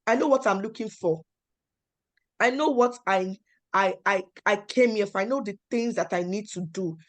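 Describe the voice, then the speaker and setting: A young adult woman from Nigeria with a Nigerian accent, telling personal stories at a talk in an animated way and acting out the dialogue. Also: mid-range voice, moderate pace. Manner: animated, acts out quoted dialogue with emphatic repetition. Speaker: panel speaker.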